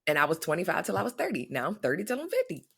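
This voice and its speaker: A woman in her thirties co-hosting a casual podcast from her home, chatty and animated, acting out little quotes as she tells stories. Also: fairly low pitch, rapid-fire, vocal fry, husky. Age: 30s. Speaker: podcast co-host. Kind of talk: casual chat. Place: her home, in front of a flower wall.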